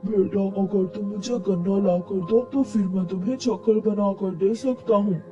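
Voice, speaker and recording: A woman voicing a kindly, helpful male bird uncle in a cartoon story, speaking in a measured way. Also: mid-range voice, mellow, highs cut off, voice pushed down, natural voice. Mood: bargaining. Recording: distant mic with music behind.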